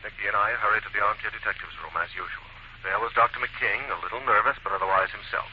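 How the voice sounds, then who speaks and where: An actor playing an assured, articulate detective and radio host, in 1940s radio drama style. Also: male voice, lowish voice, fairly even intonation, fast, close to an everyday voice. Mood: measured narration. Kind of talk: 1940s radio acting with scripted narration. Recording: dull, noisy 1940s radio recording.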